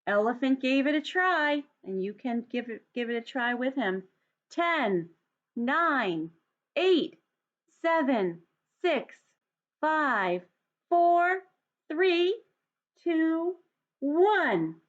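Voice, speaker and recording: A woman reads a storybook to young children with a high voice and very animated intonation. Her delivery is deliberate and expressive, like a teacher's. The recording is a little dull and lo-fi. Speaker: teacher reading to students. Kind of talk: children's storybook read-aloud.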